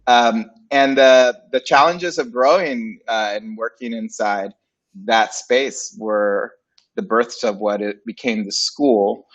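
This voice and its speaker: A man in his forties, a native Spanish speaker of Puerto Rican and Dominican background, telling his career story as an interview guest in a relaxed, playful way. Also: mid-range voice, slow. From Puerto Rico and Dominican Republic. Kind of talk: casual interview. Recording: dull lo-fi sound.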